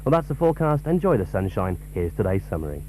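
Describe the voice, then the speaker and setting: A male continuity announcer with a low voice and animated intonation, reading scripted links and forecasts. The recording carries clear background noise. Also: fast, poor audio. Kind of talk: scripted weather and station announcements.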